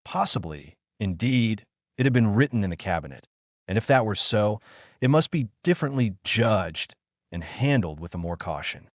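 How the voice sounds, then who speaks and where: A man narrating a dramatic audiobook in a measured, formal literary manner. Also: fairly low pitch, sing-song, no echo, studio quality.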